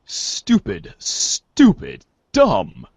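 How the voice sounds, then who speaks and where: A man's voice: fairly high pitch, huge pitch swings, gravelly, darkish tone, breathy edge, clean studio sound, full character voice. A man playing an imperious, insulting commander in a read-along storybook comedy, pompous and exasperated as he orders a boy about. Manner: pompous, exasperated, slow and theatrical. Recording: echoey, dull lo-fi recording.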